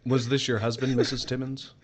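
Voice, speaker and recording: Casting: actor as kindly, formal policeman, medium-pitched, careful delivery, slight noise on the recording. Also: little pitch movement, brisk, close to an everyday voice. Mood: solemn. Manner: careful, sympathetic. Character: gentle, formal police officer at a morgue. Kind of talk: horror audio drama acting.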